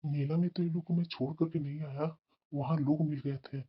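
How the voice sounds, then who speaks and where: A woman's voice: deep voice, fairly even intonation, a little fast, loud music behind the voice, natural voice. A woman voicing an irritable, scheming father in a family moral cartoon, grumbling and evasive. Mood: furtive, scheming. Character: scheming, irritable father who resents his daughters. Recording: dull, lo-fi cartoon voice track.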